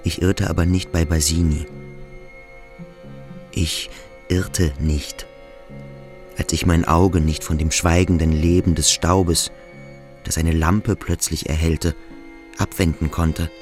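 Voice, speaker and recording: Radio drama: brooding pupil, very low voice, intense halting monologue over music. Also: male voice, flat delivery, darkish tone. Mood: brooding, searching. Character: brooding, introspective adolescent male boarding school pupil. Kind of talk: German radio play monologue.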